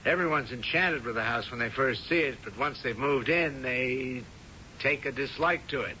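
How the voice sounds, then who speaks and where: An actor playing a polite, hesitant house seller in a radio drama, explaining things carefully. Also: male voice, normal speed, sharp tone, close to an everyday voice. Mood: uneasy, polite. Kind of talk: 1970s radio drama acting. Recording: dull old radio recording, faint rumble.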